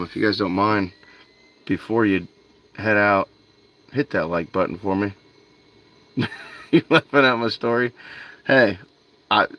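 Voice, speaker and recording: A man with a fairly low voice hosts a fishing livestream late at night. He talks in a relaxed, rambling way, reads out viewers' names and says "dude" often. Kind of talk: casual livestream chat with viewers. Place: outdoors by a canal at night.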